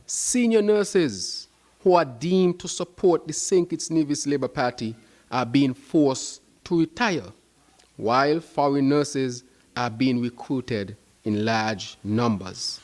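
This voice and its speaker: A man giving a prepared press conference speech as an opposition spokesman, in deliberate, emphatic short phrases. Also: mid-range voice, darkish tone.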